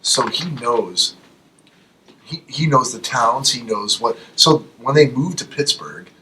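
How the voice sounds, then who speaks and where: A man's voice: medium pitch, slow, bright, forceful tone. A man co-hosting a casual podcast, ranting in an emphatic, profane way. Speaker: podcast co-host. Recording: distant mic, faint background noise.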